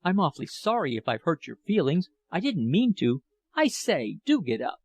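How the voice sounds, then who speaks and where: A man's voice: on the high side, big pitch swings, moderate pace, a little mellow, dry recording, pitched above the performer's own voice. A man reading a novel aloud, voicing an awkward, sulky young man of twenty who apologizes politely. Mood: apologetic.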